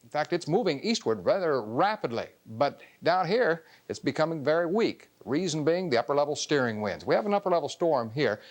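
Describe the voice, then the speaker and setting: Higher-pitched American TV weatherman. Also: very animated, brisk, hardly any pauses. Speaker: TV weather forecaster. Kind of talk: ad-libbed weather forecast.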